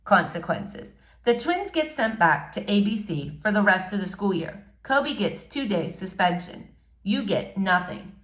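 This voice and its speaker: A woman reading a novel in verse aloud, expressively, in short measured phrases. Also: mid-range voice, big pitch swings, a bit bright. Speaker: audiobook-style reader.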